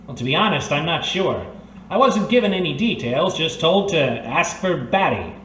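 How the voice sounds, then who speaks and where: An earnest 1930s gumshoe, played by a male actor, polite and hesitant, with a mid-pitched voice that moves up and down a lot. The radio drama acting sounds roomy and slightly far from the mic. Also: animated, normal speed, fairly bright tone. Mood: nervous, polite. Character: earnest, awkward 1930s private eye, a reformed thief. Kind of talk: old-time radio detective drama acting. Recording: roomy, distant recording with faint noise.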